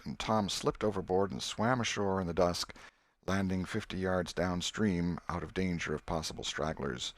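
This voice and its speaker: A man with an American accent narrating a classic novel as an audiobook in a measured storytelling manner. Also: low-pitched, dry recording. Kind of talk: scripted literary narration.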